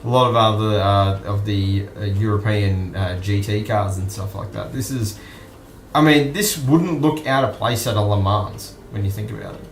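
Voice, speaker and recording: An Australian man hosting an online news stream, commenting casually and off the cuff in a halting, musing way. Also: on the low side, vocal fry, a little hiss or hum. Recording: roomy echo with music behind.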